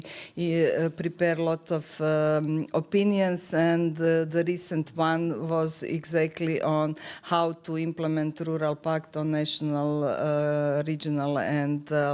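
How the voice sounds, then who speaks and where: Clear, even-toned woman with Croatian accent. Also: low-pitched. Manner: very halting, hesitant phrasing. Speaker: panel speaker for a European committee. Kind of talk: unscripted panel presentation.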